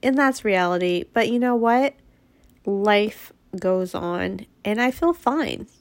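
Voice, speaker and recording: Congested woman talking slowly and bluntly. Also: mid-range voice, expressive, dry recording. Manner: blunt, frank, sprinkled with swearing. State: congested from a head cold, nasal, sniffling. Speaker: podcast host. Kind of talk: casual monologue.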